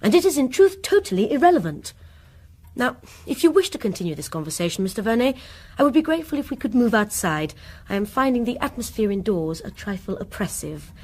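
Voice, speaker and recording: An actress playing a proud, guarded rector's wife in a Victorian radio drama, speaking formally. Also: female voice, on the high side, big pitch swings, fairly quick, darkish tone. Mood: reserved, proud. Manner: formal, crisp-worded, defensive. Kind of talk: period radio drama acting.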